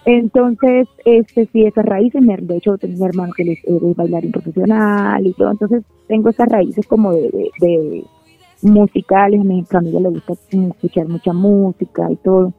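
A woman's voice: medium pitch, moderate pace, no echo, soft background music. A Venezuelan woman who sings in a band, talking in a casual radio interview, hesitant with many fillers and restarts. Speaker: singer of a band, guest. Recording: tinny phone-like line.

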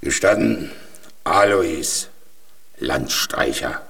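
An actor in a children's radio play, playing a resigned, weary old vagabond with a rough, husky voice and a plain-spoken manner. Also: male voice, very deep voice, animated, extremely slow, a bit bright, distant mic, exaggerated voice. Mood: wry, wistful.

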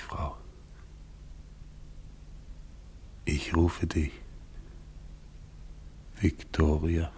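Radio drama lead: exhausted polar explorer, solemn, incantatory, unhurried delivery, faint background noise. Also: male voice, extremely low pitch, vocal fry, a little mellow, heavily acted. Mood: solemn, longing. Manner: halting, brooding, incantatory. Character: exhausted male polar expedition leader, brooding, reminiscing, solemn. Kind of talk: German radio play acting, dramatic monologue.

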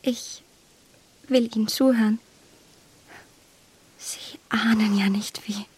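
A woman's voice: a little high, fairly even intonation, slow, darkish tone, exaggerated voice. An actress playing a well-bred, impulsive young English lady in a German radio play, polite on the surface and privately flustered. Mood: tender. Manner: polite, breathy asides to herself.

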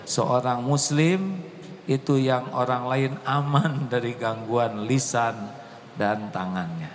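A man delivering a sermon, unhurried and measured in his phrasing. His voice carries a long hall reverb with faint background noise. He swings from soft, gentle explanation to impassioned, high exclamation. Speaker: preacher.

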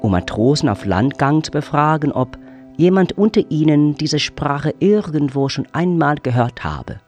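A man narrating a radio drama in a measured storytelling manner. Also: a little high, lively intonation, a little mellow. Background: music.

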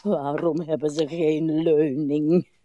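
An actress playing a weary, self-pitying, sharp-tongued old woman in a radio play, theatrical and sardonic. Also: sits low, flat delivery, slow pace, highs cut off. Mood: grumbling. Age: older.

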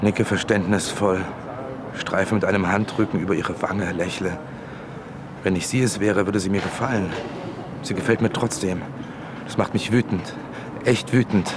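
An actor in a radio drama playing a brooding, resentful loner in clipped inner monologue. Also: male voice, deep voice, fairly even intonation, normal speed, long pauses, noisy background, lightly acted. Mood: resentful, tense. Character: Torge, brooding, resentful, menacing loner ex-marksman.

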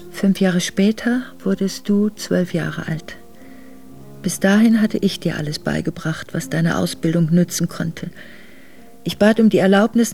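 An actress playing an exiled Russian princess, an ageing mother recalling hard years tenderly in a German radio drama, measured and reflective. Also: on the low side, mellow, natural voice. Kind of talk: German radio drama monologue, memoir narration.

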